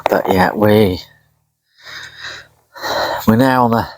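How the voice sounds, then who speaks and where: Deep-voiced man, casual angler. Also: dry recording. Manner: rambling, unhurried, chatty. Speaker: angler vlogging a fishing trip. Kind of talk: casual outdoor vlog commentary. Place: outdoors at a lakeside.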